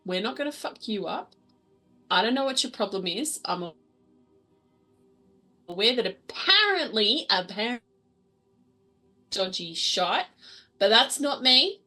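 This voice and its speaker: Bright, high-voiced woman, playful and sweary. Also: big pitch swings, measured pace, pauses often. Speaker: tabletop role-playing game player. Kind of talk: casual in-character improvised game talk. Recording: music behind the voice.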